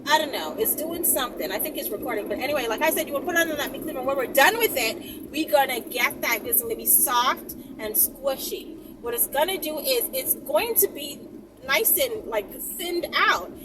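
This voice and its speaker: A woman's voice: high voice, lively intonation, fairly quick. A woman talking casually to her viewers in an emphatic, sassy, repetitive way, rambling as she hosts her vlog. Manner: emphatic, sassy, repeats phrases and checks with "okay?". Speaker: vlog host. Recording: distant phone mic, loud vehicle noise.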